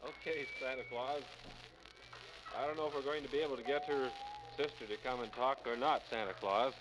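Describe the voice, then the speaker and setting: A man working as a live radio announcer in the 1950s, coaxing children in a halting, folksy way and repeating their answers. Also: mid-range voice, fairly even intonation, background noise. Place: toy store live broadcast.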